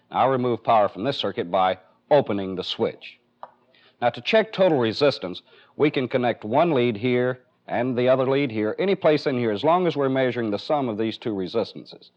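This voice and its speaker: A man with an American accent giving a methodical, step-by-step technical demonstration as an instructor in a training film. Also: mid-range voice. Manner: methodical, plainly explanatory. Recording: dull, band-limited 1970s film soundtrack.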